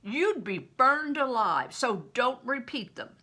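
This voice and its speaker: An older woman acts out a rough storybook mentor, a man who preaches and commands. The delivery is forceful and slow, with big swoops in pitch and a raspy edge. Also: sing-song, heavily acted. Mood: angry, warning.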